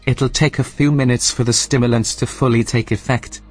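An actor playing a literal, unflappable station computer assistant with a formal, deadpan manner in a science-fiction audio drama. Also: male voice, medium pitch, a little fast, faint music behind, close to an everyday voice. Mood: deadpan, matter-of-fact.

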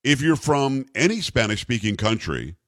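A man's voice: mid-range voice, very animated, normal speed, a bit bright, no room sound, clean studio sound. An American man hosting political talk radio, giving opinionated commentary in an emphatic, incredulous and sarcastic manner. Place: radio studio.

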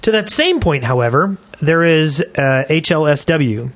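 Higher-pitched man co-hosting a casual podcast. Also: animated, unhurried. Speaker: podcast co-host. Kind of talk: casual chat and reading listener email aloud.

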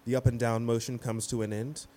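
A man's voice: mid-range voice, level tone, a little fast, dark tone, a little hiss or hum. A man reading scripted stage directions as the narrator of an audio play.

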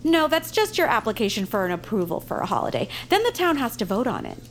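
A brisk, wry town official. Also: female voice, on the high side, wildly swooping pitch, slight background noise. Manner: matter-of-fact, wry. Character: VP, brisk, dry, sympathetic town council official. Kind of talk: family audio drama acting.

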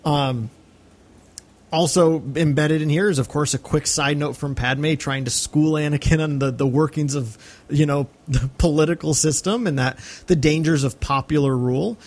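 An American man co-hosting a podcast, talking casually and reflectively about film, effusive and often halting to repeat phrases. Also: fairly high pitch, darkish tone. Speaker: podcast co-host.

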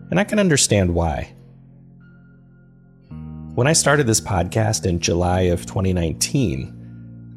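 A man playing an earnest, uneasy paranormal podcast host, narrating a documentary-style recap in a measured, confiding way with a creaky, low voice. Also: darkish tone. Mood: reflective, confiding.

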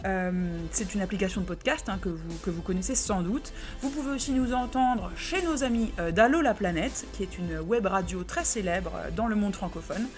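A woman's voice: medium pitch, moderate pace, few pauses, faint music behind. Chatty podcast co-host, a woman. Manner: lively, chatty, with fillers. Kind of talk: casual chat and scripted outro.

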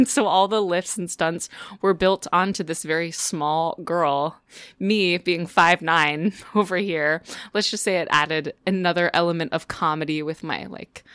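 A young woman with a fairly low, clear and resonant voice, recorded close and dry. She tells a first-person story like a stage performer, animated and gently poking fun at herself. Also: no echo. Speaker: musical theatre performer, Broadway understudy. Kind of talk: first-person personal storytelling, reading own written account.

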